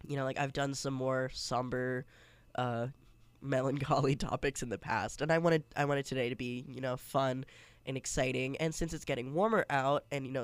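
A man's voice: medium pitch, clean tone, dry recording. A congested young man hosting a casual solo podcast, chatty and rambling with many fillers and restarts. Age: young adult. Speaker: podcast host.

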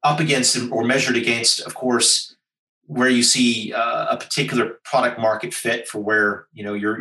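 Emphatic American man, bright and roomy. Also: mid-range voice, recorded from a distance. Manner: emphatic, persuasive, rhetorical lists. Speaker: business executive guest. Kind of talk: interview answer, advisory. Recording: roomy sound, voice from off-mic.

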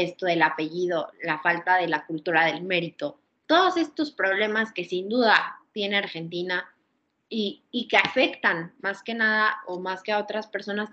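A woman's voice: fairly low pitch, sharp tone. A woman interviewer asking questions conversationally, affirming and wordy as she searches for her phrasing. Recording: dull lo-fi audio.

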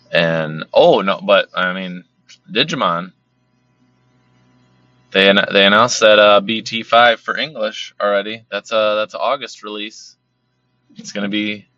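Rambling man with a bright, slightly hoarse voice. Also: on the low side, no echo. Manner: rambling, repeats words, many fillers. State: losing his voice. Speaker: card collecting channel host. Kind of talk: casual monologue. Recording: slightly dull lo-fi sound.

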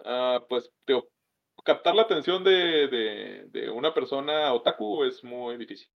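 A man's voice: mid-range voice, lively intonation, a little slow, vocal fry, lo-fi sound. A man from Mexicali with a northern Mexican accent, telling stories in a casual chat, rambling and slangy with many fillers. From Mexicali, Baja California. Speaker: podcast co-host.

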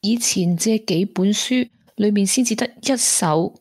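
An actress playing a gentle, grieving young woman weighed down by guilt, in a Hong Kong Cantonese radio drama. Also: mid-range voice, measured pace, husky, dry recording, clean studio sound. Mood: uneasy, confiding.

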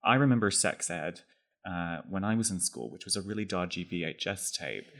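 A deep-voiced man in his thirties, from Texas, speaks thoughtfully and articulately. His delivery is measured and well-spoken, and his pitch sits low throughout. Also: animated, fairly bright tone. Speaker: podcast guest. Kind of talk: reflective conversation.

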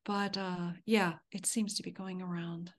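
A woman co-hosting a casual podcast, friendly and quick to laugh, often trailing off softly. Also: fairly low pitch, level tone. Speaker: co-host.

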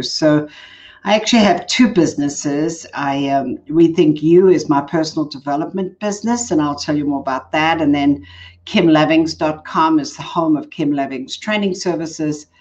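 An older woman with a South African accent, speaking as a guest coach in a relaxed interview and laughing often. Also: low-pitched, normal speed, lo-fi sound. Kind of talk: casual interview chat.

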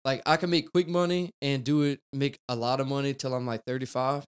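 A young man talks loosely as a podcast guest, rambling and tossing in "dude" and "man". His voice is smooth and clear, sitting at a middle pitch for a man. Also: steady tone, brisk. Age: 20s. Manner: rambling, laid-back, peppered with "dude" and "man". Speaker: guest, car detailer. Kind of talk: casual podcast chat.

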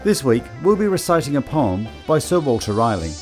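A man's voice: medium pitch, very animated, brisk, a little mellow. A man presenting a programme with scripted introductions and credits. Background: music with plucked guitar.

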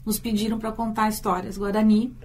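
A woman's voice: medium pitch, level tone, brisk, darkish tone, slight background noise. A Brazilian woman, a university teacher and storyteller, answering in a radio interview, thoughtful and often repeating words as she thinks.

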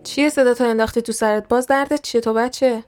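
A woman voicing an angry, abusive addict father, shouting with contempt in an audio story. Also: a little high, steady tone, faint music behind, natural voice. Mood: angry, contemptuous.

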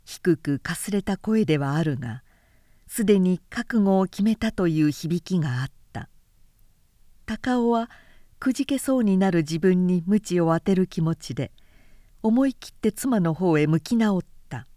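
Woman narrating literary fiction, lowish voice, dry sound. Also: lively intonation, dark tone, dry recording. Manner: measured storytelling delivery. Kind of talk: scripted literary audiobook narration.

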